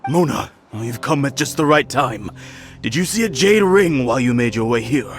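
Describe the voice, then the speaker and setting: A man's voice: medium pitch, expressive, normal speed, soft background music, natural voice. Man playing a worried, mid-voiced innkeeper. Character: worried, courteous male innkeeper, plain everyday sort. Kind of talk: video game character acting.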